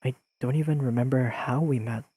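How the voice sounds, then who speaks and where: A man playing an earnest, awkwardly analytical young best friend in an intimate audio roleplay, speaking haltingly and carefully to the listener. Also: mid-range voice, steady tone, husky, dry recording, lightly acted. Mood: careful, explaining. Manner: halting, careful, softly pleading, slightly formal wording.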